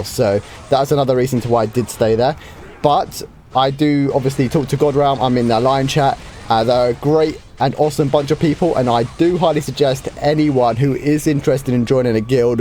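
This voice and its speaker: A man, a bit hoarse from a starting cold, easily answering viewer questions on a live game stream. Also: medium pitch, dark tone, a little hiss or hum. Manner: chatty, easygoing, with frequent ums and light laughs.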